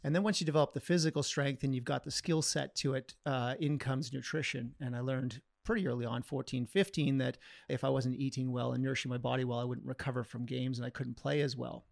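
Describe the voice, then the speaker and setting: A man's voice: mid-range voice, steady tone, a little fast, creaky, hardly any pauses, dry recording, clean studio sound. A Canadian man in his forties, a physiotherapist, telling his story steadily and plainly as a podcast guest. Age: 40s. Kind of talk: interview storytelling.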